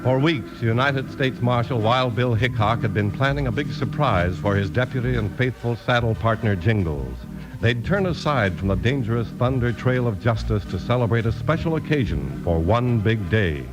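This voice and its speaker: A man announcing in polished, animated 1950s radio style, like a sponsor's salesman. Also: mid-range voice, moderate pace, lo-fi sound, over music.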